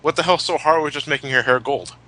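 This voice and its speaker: A man's voice: on the high side, fast talker, bright, forceful tone, no room sound. A man co-hosting a casual retrospective discussion, speaking bluntly and swearing freely. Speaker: podcast co-host. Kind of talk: casual chat, retrospective commentary.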